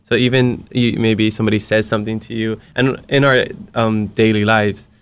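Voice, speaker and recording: A man hosts a relaxed interview, his voice sitting low and coming across smooth and resonant. Hawaiian is his first language, and he speaks earnestly with encouraging asides. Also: normal speed. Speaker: podcast host. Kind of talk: casual interview chat.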